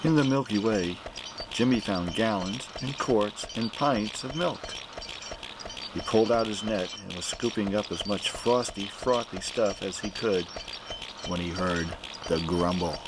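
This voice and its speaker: A man narrating a children's picture book aloud in an animated storytelling manner. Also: on the low side, measured pace, noisy background.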